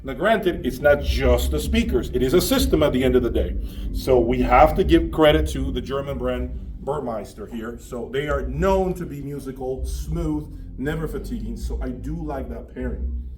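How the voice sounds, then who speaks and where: A man's voice: medium pitch, moderate pace, darkish tone. A man hosting an enthusiastic on-location interview in an emphatic, effusive manner. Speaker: interviewer and host of an audio review channel. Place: large demo room at an audio show. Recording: distant mic, faint background noise.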